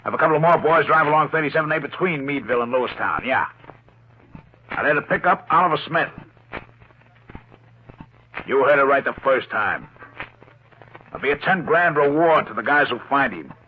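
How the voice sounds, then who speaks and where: Guy doing a bossy mob boss in an old radio crime show, curt and edgy, pitch jumps around, muffled recording. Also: lively intonation, normal speed, long pauses, slight background noise, lightly acted. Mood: commanding. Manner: curt, barking orders in gangster slang. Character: tough, domineering male gangster boss, anxious and suspicious. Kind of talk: old-time radio crime drama acting. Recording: dull, band-limited old radio recording.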